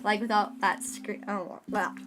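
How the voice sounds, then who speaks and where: A woman co-hosting a fan podcast in casual chat, with tentative, halting phrasing. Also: medium pitch, little pitch movement, noisy background. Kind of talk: casual chat about an audio drama.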